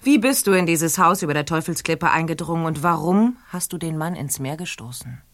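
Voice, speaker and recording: A low-voiced stern detective. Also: female voice, expressive, brisk, no room sound. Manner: sharp, skeptical interrogating. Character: stern female police detective questioning a suspect. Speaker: police detective. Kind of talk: radio play acting.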